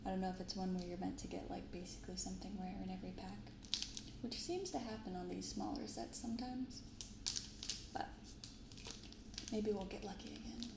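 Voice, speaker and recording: A woman speaking softly in an ASMR style, giving gentle, unhurried casual commentary as host of a card-opening video. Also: mid-range voice, little pitch movement, creaky, echoey room, very noisy. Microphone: binaural microphone.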